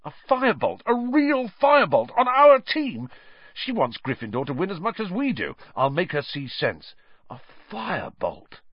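Man playing a fervent teenage sports captain, animated. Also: medium pitch, a little fast, hardly any pauses, breathy edge, dry recording, lo-fi sound, pitched above the performer's own voice. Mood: excited, determined. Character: passionate, sports-obsessed teenage boy team captain. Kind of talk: audiobook character acting.

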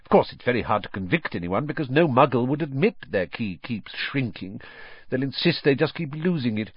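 A man's voice: medium pitch, expressive, fairly quick, slightly rough, dry recording, lo-fi sound. A man voicing a mild, weary middle-aged father, absent-minded and sheepish, with a hesitant, mumbling manner. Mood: musing.

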